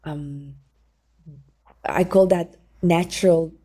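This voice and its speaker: A woman who teaches singing, talking in an interview in calm, gentle, halting non-native English with many fillers. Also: on the low side, husky. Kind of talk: conversational interview.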